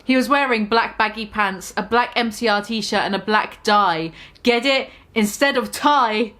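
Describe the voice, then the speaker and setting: A woman's voice: a little high, unhurried, a bit bright. A woman giving a mock-dramatic reading of a fan story, amused and full of sarcastic asides. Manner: amused, keeps breaking into laughter, sarcastic asides.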